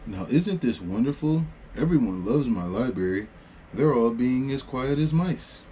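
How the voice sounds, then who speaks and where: Storybook girl playing librarian, read by a man: gracious, chatty, a bit fussy, mellow tone, noisy recording. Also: mid-range voice, expressive, unhurried, voice pushed up, natural voice. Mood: gracious, excited. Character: polite, bossy young girl playing a welcoming librarian. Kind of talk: storybook read-aloud dialogue.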